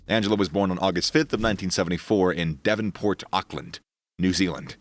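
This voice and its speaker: A man with a very low voice narrates a sports news piece in a steady read-aloud manner, reading the quotes in the same voice as the rest. The sound is dry, with no room echo. Soft music plays quietly underneath. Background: faint background music.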